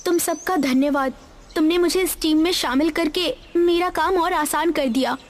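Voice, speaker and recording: A woman's voice: very high-pitched, normal speed, pitched above the performer's own voice. A woman voicing a treacherous young female servant of darkness in a cartoon story, sly and gloating. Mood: sweetly sly. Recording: music behind the voice. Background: background music.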